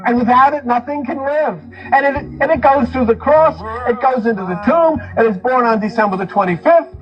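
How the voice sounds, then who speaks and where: A man's voice: extremely high pitch, a little fast, muffled recording. A man giving an impassioned lecture on religion, speaking emphatically and repeating phrases for stress. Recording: speech mixed over music. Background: music.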